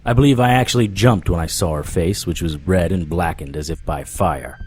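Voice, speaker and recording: A man's voice: deep voice, fairly quick, darkish tone, soft background music, natural voice. A man playing a haunted, dogged supernatural investigator, telling his story in first person in a hard-boiled audio drama style. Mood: tense, narrating.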